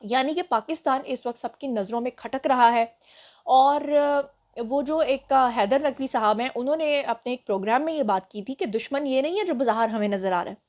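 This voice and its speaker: A young Pakistani woman with a Pakistani Urdu accent hosting a casual news roundup for her channel, talking slowly and carefully because of a sore throat. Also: fairly high pitch, darkish tone. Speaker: news show host.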